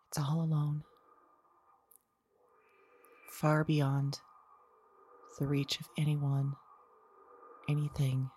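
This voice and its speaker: Woman doing a husky, whispering scared woman, low, slow, repeats herself. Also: a little mellow, heavily acted. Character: frightened woman on the edge of breaking. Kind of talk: audio drama monologue.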